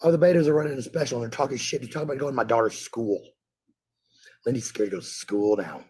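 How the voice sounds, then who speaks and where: A man's voice: medium pitch, fast, darkish tone, husky. A man on an angry livestream rant, combative and swearing heavily, repeating phrases for emphasis. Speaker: parent hosting a livestream.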